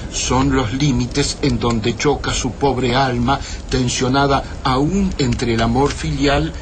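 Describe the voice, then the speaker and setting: Male actor as pensive literary narrator, Argentine accent, slow pace, medium pitch, radio drama, noisy backdrop. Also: lightly acted. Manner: reflective, measured. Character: pensive male writer recounting a time traveler's tale.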